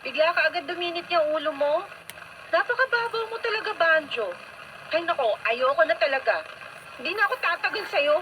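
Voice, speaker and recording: Fed-up young woman for radio drama: high, scornful, nagging, over clear noise. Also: animated, a little fast, pushed and bright, exaggerated voice, poor audio. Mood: exasperated. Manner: scolding, exasperated.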